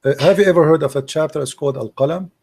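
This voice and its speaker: Man with a mellow voice, explaining. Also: on the high side, rapid-fire, creaky, breathy edge. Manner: questioning, explains patiently, reads passages aloud. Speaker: debate host. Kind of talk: live call-in debate, reading texts aloud.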